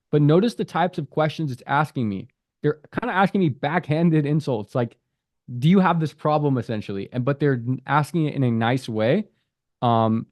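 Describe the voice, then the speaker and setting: A man's voice: mid-range voice, a little mellow, no echo. Dry-voiced man talking through an app. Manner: conversational, reads app prompts aloud and comments. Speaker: app developer reviewing an app. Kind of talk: casual screen-recorded app walkthrough.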